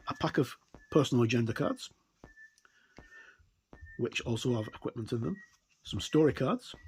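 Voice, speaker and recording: Male hobby reviewer, mid-pitched, casual and halting unboxing talk, faint background noise with clicks. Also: darkish tone, rough recording. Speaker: tabletop game reviewer. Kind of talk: casual unboxing commentary with read-out passages.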